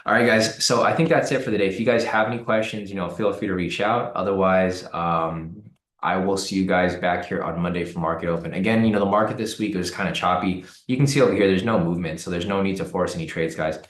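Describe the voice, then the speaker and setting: Deep raspy man hosting a trading stream. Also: quick pace, echoey room. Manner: relaxed, repeats words and uses many fillers. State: hoarse from cold weather. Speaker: trading livestream host. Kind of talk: casual live-stream commentary answering chat.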